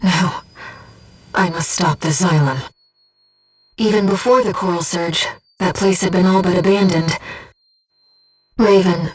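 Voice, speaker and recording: A woman voicing a calm, devoted, disembodied female guide in a video game, speaking softly and precisely as she supports a pilot on missions. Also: fairly low pitch, slightly rough, distant mic. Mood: solemn resolve. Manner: measured, precise, earnest. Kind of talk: video game voice acting, tactical briefings.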